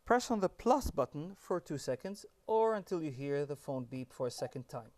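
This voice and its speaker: A man's voice: fairly high pitch, big pitch swings, normal speed, a little mellow. A man giving scripted step-by-step instructions as an upbeat tutorial presenter.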